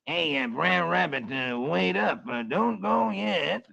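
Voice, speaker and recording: A man's voice: fairly high pitch, lively intonation, slow pace, creaky, bright, forceful tone, voice pushed up, exaggerated voice. A man voicing a dim, scheming male buzzard who drawls and stumbles over "uh". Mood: drawling. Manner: hesitant drawl full of "uh". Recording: dull old radio recording with faint hiss.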